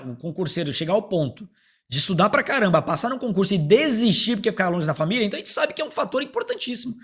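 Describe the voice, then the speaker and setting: A man's voice: sits high, a bit bright, husky. A man, explaining things informally with examples as a coach being interviewed. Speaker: interview guest, police exam prep coach. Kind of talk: conversational explanatory interview answers.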